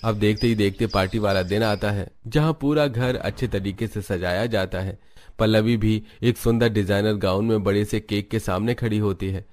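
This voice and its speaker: A man reading scripted narration for an animated family story. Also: fairly low pitch, normal speed, a little hiss or hum.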